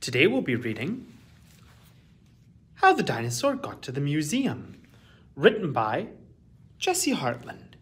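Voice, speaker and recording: Expressive, lively male storyteller. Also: on the high side, measured pace, long pauses. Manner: expressive, carefully enunciated storytelling. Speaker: museum storytime host. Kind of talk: reading a children's picture book aloud. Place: small indoor room with shelves.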